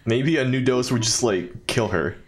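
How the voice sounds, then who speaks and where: A man's voice: mid-range voice, moderate pace, slight background noise. A young man giving casual, sarcastic commentary while playing a video game. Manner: sarcastic, dry quips. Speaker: let's player. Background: game audio and dialogue.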